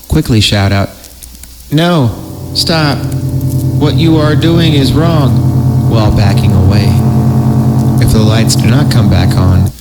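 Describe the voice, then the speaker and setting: A man hosting a podcast, reading horror stories in a theatrical way and breaking off for jokey asides. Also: medium pitch. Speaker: podcast host. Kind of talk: dramatic horror story reading with joking asides.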